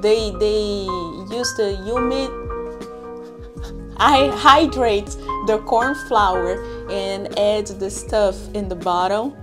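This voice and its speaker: Brazilian woman with a portuguese accent, mid pitch, explains stuff, laughs a lot, clip mic at home. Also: takes it slowly, over music. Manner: explains plainly, laughs often. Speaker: host presenting foods. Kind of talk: casual chat. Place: living room, indoors. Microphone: clip-on lapel mic.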